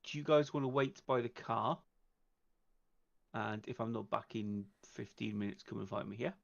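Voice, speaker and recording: A man's voice: fairly low pitch, moderate pace, gravelly, muffled recording. A man narrating casually and talking at the table in a tabletop roleplaying game stream.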